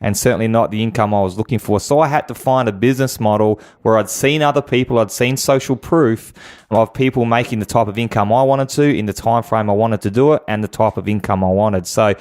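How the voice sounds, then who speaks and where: A man's voice: on the low side, fairly quick, darkish tone. A man giving conversational advice as an interview guest, emphatic and fond of rhetorical questions. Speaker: guest business expert.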